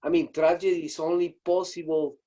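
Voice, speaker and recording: A man speaking up on a video call, halting and searching, with constant fillers as he gropes for ideas. His voice is fairly high for a man and mellow in tone. The call audio sounds dull and lo-fi. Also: flat delivery. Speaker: audience participant. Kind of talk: spontaneous reflective remarks.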